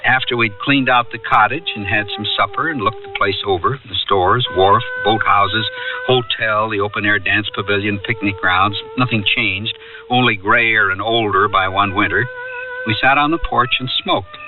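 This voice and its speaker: Lo-fi radio drama actor as wry bachelor narrator. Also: male voice, on the low side, recorded from a distance, natural voice. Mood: wry, nostalgic. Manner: measured, reflective storytelling. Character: wry bachelor adman reminiscing about a summer holiday. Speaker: narrator and character in a radio play. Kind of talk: 1970s American radio drama acting. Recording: narrowband lo-fi radio broadcast with music.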